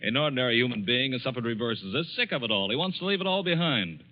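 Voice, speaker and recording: An actor playing a dismissive, mocking male book editor in a 1940s radio drama, speaking briskly. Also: medium pitch, animated, natural voice. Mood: scoffing. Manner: scoffing, brisk. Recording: dull lo-fi 1940s radio recording.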